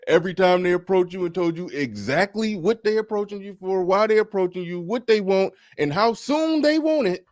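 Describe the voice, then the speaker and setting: A man hosting a casual panel discussion, relaxed and repeating words as he thinks aloud. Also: sits high, normal speed, dry recording, clean studio sound. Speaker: host. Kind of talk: casual panel talk show.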